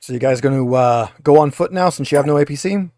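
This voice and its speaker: A man's voice: a little high, fast talker, dark tone, no room sound, studio quality. A man acting as game master, narrating a tabletop roleplaying game.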